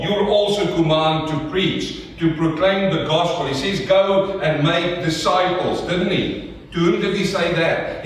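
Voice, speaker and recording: A South African man preaches with a fairly high voice, pushing his points hard and calling for answers from the pews. He sounds set back from the mic, and a long reverb from the church hall trails every phrase. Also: distant mic. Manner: emphatic call-and-response preaching. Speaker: pastor. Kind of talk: sermon.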